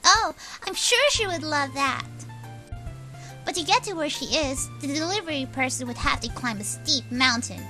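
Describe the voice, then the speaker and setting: A woman's voice: high voice, animated, normal speed, bright, forceful tone, faint music behind, exaggerated voice. A woman in a game fan dub voicing an eager, sweetly polite young girl helper. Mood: polite, chatty.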